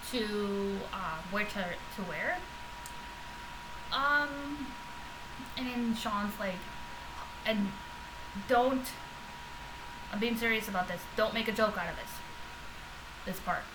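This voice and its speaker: A woman with a loud, fairly high voice rambles through a casual reaction vlog. She talks off the cuff, full of fillers and restarts. Steady background noise sits clearly under the recording. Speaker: vlogger reacting to podcast episodes.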